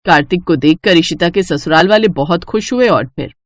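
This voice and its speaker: A woman's voice: lowish voice, moderate pace, no room sound. A woman narrating a scripted moral story as a storyteller.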